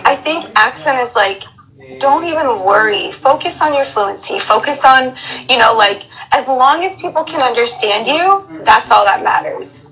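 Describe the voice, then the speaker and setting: American female teacher, guest in a casual chat, explains with examples, bright and crisp. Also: high-pitched, animated, normal speed, low-quality recording. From United States. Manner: friendly, explanatory, uses examples.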